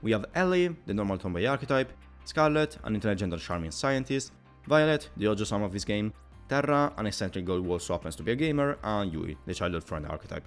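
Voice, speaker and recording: Man, video game reviewer, scripted narration, animated pitch, wordy and opinionated, soft music behind. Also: fast talker. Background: background music.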